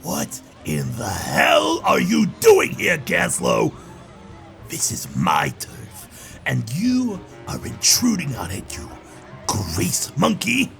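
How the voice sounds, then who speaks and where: A man voicing an arrogant, greedy goblin trade prince with a gravelly, sharp voice and a blustering, insulting manner in a fan audio drama. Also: medium pitch, wildly swooping pitch, slow, soft background music, full character voice. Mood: furious.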